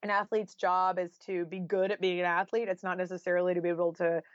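A woman's voice: on the low side, flat delivery, quick pace, no echo, highs cut off. A woman author talking as an interview guest in a casual, thoughtful way, often dropping in "like" and "you know". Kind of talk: casual, reflective interview talk.

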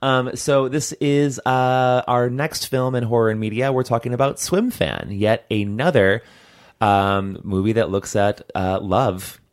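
A man's voice: mid-range voice, fairly even intonation, unhurried. A man co-hosting a podcast, chatting casually about films in a jokey, conversational way. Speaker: podcast co-host. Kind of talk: casual chat reviewing films.

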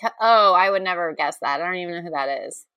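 A woman co-hosting a podcast in casual comedic chat, excitable and giggly in the way she talks. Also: on the low side, fast talker, smooth, no echo, highs cut off. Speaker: podcast host.

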